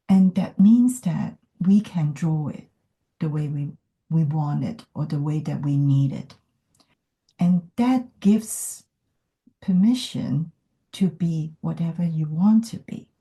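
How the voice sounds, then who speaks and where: A woman from Hong Kong, whose first language is not English, speaking in a thoughtful podcast conversation with many pauses and fillers. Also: deep voice, warm tone. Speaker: podcast guest. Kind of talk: reflective conversation.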